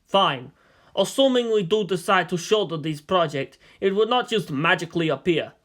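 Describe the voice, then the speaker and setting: A man's voice: high-pitched, animated, moderate pace, a bit bright. A man voicing an aged, shrewd premier in a story reading, formal, questioning and skeptical. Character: aged, experienced male statesman, suspicious and shrewd premier.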